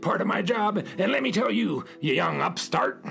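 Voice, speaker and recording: A woman voicing a grumpy, scolding old male sun in a children's radio play, creaky and indignant. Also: mid-range voice, animated, normal speed, fairly bright tone, faint music behind.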